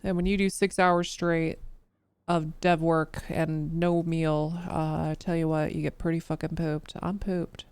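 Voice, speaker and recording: A woman's voice: sits low, level tone, measured pace, a little mellow, clean tone, no echo. A tired woman live-streaming while coding, who swears freely and mutters to herself as she works, her voice worn down. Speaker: livestreamer.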